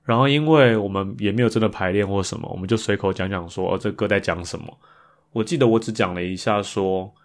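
A man's voice: lowish voice, quick pace. A man with a Taiwanese Mandarin accent, talking casually as a solo podcast host.

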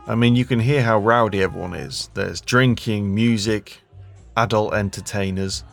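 A man giving scripted documentary narration in a measured, explanatory way. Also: on the low side, fairly even intonation, creaky, faint music behind.

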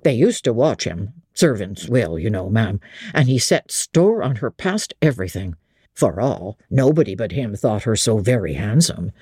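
An older country innkeeper, as an audiobook reader puts him on, leans in to share gossip in rustic, confiding speech. His voice is dark and husky, recorded dry and close. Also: mid-range voice, sing-song, moderate pace, no echo, voice pushed down. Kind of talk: audiobook character reading.

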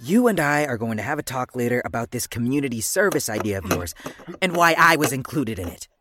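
A man's voice: medium pitch, sing-song, fairly quick, a crowd in the background, natural voice. A man playing a sarcastic, exasperated young adventurer in a fantasy audio drama. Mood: annoyed.

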